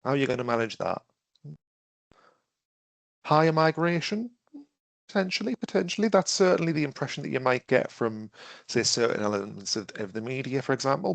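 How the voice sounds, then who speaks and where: A man with a cough leads a webinar in a gravelly voice, speaking close to a dry-sounding computer mic. He talks in a conversational way, restarting phrases and asking his listeners questions as he thinks aloud. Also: medium pitch, no room sound. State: has a cough and is losing his voice. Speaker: webinar trainer. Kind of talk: interactive online training talk.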